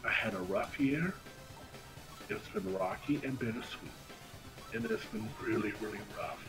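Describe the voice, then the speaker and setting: A man livestreaming a game and casually telling stories in an animated, slangy way. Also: medium pitch, a little slow, vocal fry, low-quality recording. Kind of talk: casual chat over gameplay. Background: video game music.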